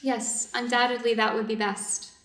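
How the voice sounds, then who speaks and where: A woman's voice: on the high side, little pitch movement, moderate pace, a bit bright, lightly acted. A woman reading the part of a Japanese surgeon's wife in a staged story, proper and practical, with formal, careful phrasing. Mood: anxious. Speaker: reader's theater performer. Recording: echoey room, distant microphone.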